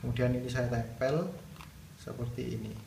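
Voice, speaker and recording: A man's voice: medium pitch, fairly even intonation, moderate pace. A man narrating a casual hands-on tutorial, explaining it step by step as the host. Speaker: video host demonstrating product installation. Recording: roomy, distant mic, clear background noise.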